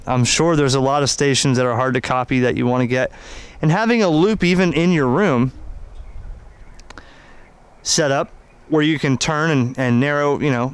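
Smooth-voiced man reviewing radio gear. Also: mid-range voice. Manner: halting, explanatory, conversational. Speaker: ham radio hobbyist reviewing an antenna. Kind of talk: unscripted product demonstration and review.

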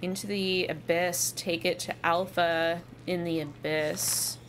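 Slow-talking young woman streamer. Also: on the low side, fairly even intonation, a bit bright, a little hiss or hum. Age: 20s. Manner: chatty, self-deprecating, lots of fillers. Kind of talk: live game stream chat.

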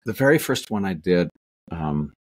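A man's voice: sits low, very animated, moderate pace. A middle-aged man, an actor, telling rambling stories over a video call in a small room at home. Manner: rambling storyteller, many restarts and asides. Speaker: actor and guest. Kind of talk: casual interview chat. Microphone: webcam on video call.

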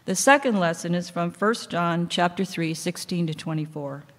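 A middle-aged woman reading Bible lessons aloud at a lectern in a church, in a measured, steady cadence. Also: lowish voice. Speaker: lay scripture reader. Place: church sanctuary, indoors. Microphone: microphone on a stand at a lectern. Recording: long church hall reverb.

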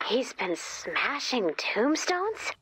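A woman's voice: a little high, very animated, extremely slow, fairly bright tone, slight background noise, exaggerated voice, rough recording. A woman playing a wisecracking, eager, sarcastic female robot helper in a video game, quippy and playful with rambling asides. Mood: wry, bemused. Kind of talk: scripted video game character dialogue.

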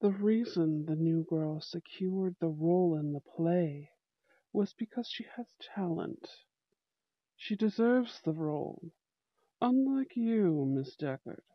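A woman's voice: fairly low pitch, lively intonation, slow, no echo, lo-fi sound, voice pushed down. A woman voicing a young bad-boy school prince in an ASMR roleplay, formal, aloof and protective. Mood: stern, commanding. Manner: haughty, formal, commanding. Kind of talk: amateur ASMR audio roleplay acting.

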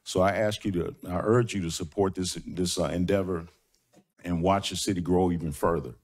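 Middle-aged man, very deep voice, emphatic, rhetorical questions, podium mic. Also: steady tone, moderate pace, darkish tone. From Nashville, Tennessee. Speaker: public commenter, construction manager. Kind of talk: public comment speech. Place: large public meeting hall. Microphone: podium microphone.

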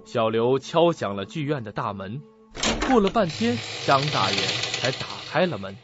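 A man's voice: mid-range voice, big pitch swings, moderate pace, highs cut off, loud music behind the voice, lightly acted. A man narrating a suspense mystery radio drama in a dramatic, scripted style. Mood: suspenseful.

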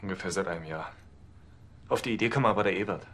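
An actor playing a rattled, defensive male suspect under police questioning in a TV crime comedy, with a rough voice. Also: deep voice, quick pace, fairly bright tone. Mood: evasive. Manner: defensive, protesting. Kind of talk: TV comedy crime series acting.

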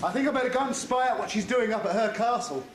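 Gullible, friendly comic lad played by a man, very high voice, echoey hall with audience. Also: fast talker, noisy background. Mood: excitable, curious. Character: simple friendly young male pantomime clown, excitable and gullible. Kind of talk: amateur pantomime stage acting.

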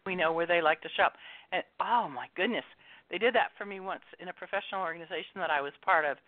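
A woman's voice: fairly low pitch, fast, dry recording. A woman author, a radio guest, telling stories in casual chat and laughing at herself. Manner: chatty storyteller, laughs at herself. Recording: dry, tinny phone line.

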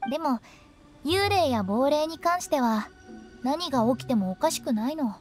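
A high, swooping female voice for a playful teenage girl in an anime game. She sounds teasing yet knowing, slightly eerie, with faint music behind. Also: expressive, moderate pace.

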